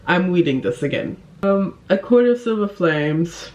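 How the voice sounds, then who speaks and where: A woman's voice: fairly low pitch, lively intonation, unhurried, a little mellow. A woman chatting casually to camera as a book vlogger, with an informal, giggly, effusive manner.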